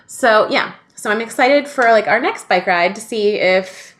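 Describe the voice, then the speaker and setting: A woman's voice: mid-range voice, unhurried, fairly bright tone. A woman in her thirties, a podcast co-host, chatting casually and telling a story with lots of "like" fillers and easy laughs. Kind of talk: casual chat.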